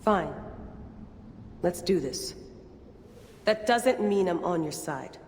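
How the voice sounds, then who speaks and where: An actress playing a blunt, guarded, defiant modern researcher in a video game scene. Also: female voice, on the low side, animated, takes it slowly, darkish tone. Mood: guarded resolve. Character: defiant, sweary modern woman, rogue corporate researcher. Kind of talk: video game cutscene acting. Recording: echoey, with clear ambient noise.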